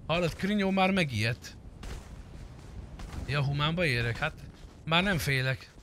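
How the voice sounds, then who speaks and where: A man's voice: on the high side, animated, fairly bright tone. A man giving casual live commentary on a roleplay game, chatting in slang and swearing as he addresses his viewers and friends. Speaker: game streamer. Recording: clearly noisy background.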